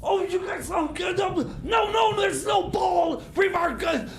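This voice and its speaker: A man's voice: very high-pitched, a little slow, shouting, a faint crowd, a little hiss or hum. A man playing a flustered, panicky time-machine mechanic in radio comedy, stammering and repeating himself in exasperation.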